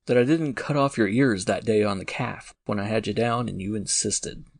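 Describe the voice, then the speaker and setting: A man's voice: lowish voice, normal speed, vocal fry, no echo. A man playing a plucky young adventurer in a dramatised audiobook, talking in a wry, slangy way. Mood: sober, frank.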